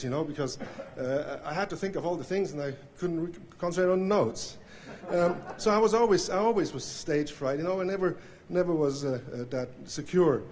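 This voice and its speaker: Halting Swiss-accented man giving acceptance speech. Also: on the high side, a little mellow. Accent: Swiss German. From Switzerland. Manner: halting, many fillers and restarts. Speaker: award inductee. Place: hall with audience. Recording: faint noise from live recording.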